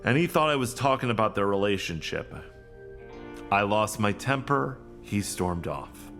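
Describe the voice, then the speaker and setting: An actor playing an anxious, guilt-ridden HR professional in a podcast drama, agitated and self-blaming in a naturalistic style. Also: male voice, on the low side, animated, measured pace, a bit bright, soft background music. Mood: tense, regretful. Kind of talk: naturalistic audio drama acting.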